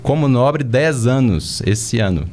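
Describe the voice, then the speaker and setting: A man answering as a company representative in a studio, steady and full of "né". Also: mid-range voice, slow pace. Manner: steady, peppered with "né". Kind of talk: casual interview answers.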